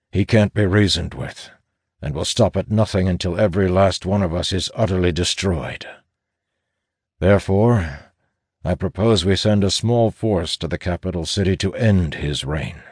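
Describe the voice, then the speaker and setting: A man voicing a reluctant, solitary ranger leader, grim and determined, with an earnest, measured delivery in a fantasy audiobook. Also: low-pitched, a little mellow, slightly rough, no echo, studio quality, voice pushed up.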